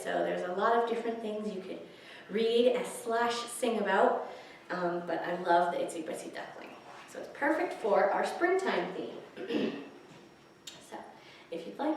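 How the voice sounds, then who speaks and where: A woman's voice: mid-range voice, normal speed, slight background noise. A woman hosting a story time for young children, speaking in simple, encouraging phrases as she introduces and reads picture books. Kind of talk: children's story time, reading and singing along. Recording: very echoey room, far from mic.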